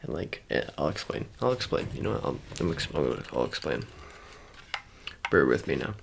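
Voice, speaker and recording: Man, very low voice, heavy vocal fry, rambling casual ASMR, halting and self-correcting. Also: big pitch swings, background noise. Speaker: ASMR creator. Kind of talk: ASMR light-trigger roleplay with casual rambling to the viewer.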